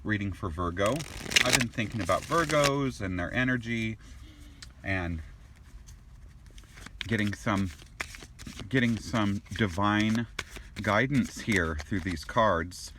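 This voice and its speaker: A man with a fairly low voice talks viewers through a tarot reading in a loose, casual way. He wanders, doubles back on his words and restarts phrases, and he reacts aloud as each card turns up. A faint hiss of noise sits under his voice. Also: slow pace, long pauses, sharp tone. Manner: rambling, repeats and restarts words; exclaims at cards as he turns them.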